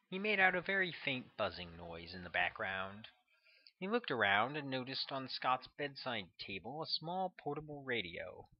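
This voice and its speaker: A man's voice: medium pitch, muffled recording. A man reading his own novel aloud as scripted audiobook narration.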